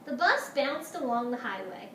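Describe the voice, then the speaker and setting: A woman teacher doing an expressive picture-book read-aloud for her pupils. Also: a little high, moderate pace, distant mic. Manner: expressive, animated storytelling. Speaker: schoolteacher reading to pupils. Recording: roomy, echoey, noisy home-style recording.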